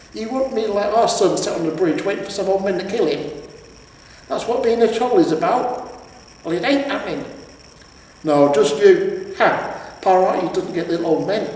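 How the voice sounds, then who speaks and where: A man's voice: sits high, darkish tone, pitched above the performer's own voice. A man voicing a huge female troll, a scornful, nagging wife and mother who scolds and sneers in a storybook reading. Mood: sneering. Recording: echoey reverberant room, distant mic, faint noise.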